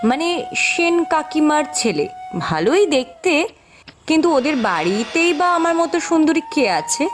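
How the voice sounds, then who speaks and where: Woman as haughty teenage girl, cartoon, high animated voice, self-admiring, pert, dismissive, soft music underneath. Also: moderate pace. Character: vain, haughty eighteen-year-old village girl. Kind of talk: fairy-tale cartoon dialogue.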